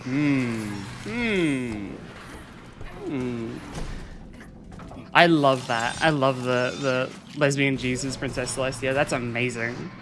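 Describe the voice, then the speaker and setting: A game streamer talking casually through a live stream, chatting with viewers and reading chat aloud in a soft, soothing way. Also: medium pitch, slow, music in the background. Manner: chatty, reads and answers chat.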